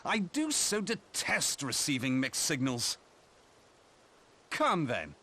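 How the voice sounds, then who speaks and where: A man's voice: fairly high pitch, wildly swooping pitch, takes it slowly, bright, forceful tone, breathy edge, full character voice. A man playing a flippant, glib adventurer in a video game, wry and theatrical in his phrasing. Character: flippant, wry adventurer rogue under accusation.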